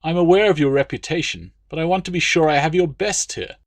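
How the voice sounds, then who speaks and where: A man's voice: fairly high pitch, brisk, no echo, pitched above the performer's own voice, lightly acted. A man voicing a bluff, overbearing Roman legate in an audiobook, with a hearty, pompous, blustering delivery. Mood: brisk, demanding. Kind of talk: audiobook character dialogue.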